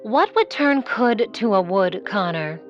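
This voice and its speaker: An actress playing an ambitious, sardonic aspiring film star in a 1940s-style noir audio drama, dry and theatrical. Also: female voice, mid-range voice, animated, unhurried, muffled recording, soft background music, close to an everyday voice. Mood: coaxing.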